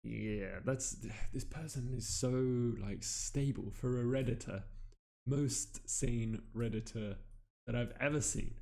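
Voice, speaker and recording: Male streamer host, low gravelly voice, wry offhand commentary. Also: warm tone. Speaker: streamer reading and judging online posts. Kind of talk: casual commentary.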